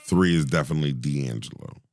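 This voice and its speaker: A man in his forties with a deep voice, a singer talking as a podcast guest in casual chat, animated, laughing and often restarting his sentences. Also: a little fast, background noise.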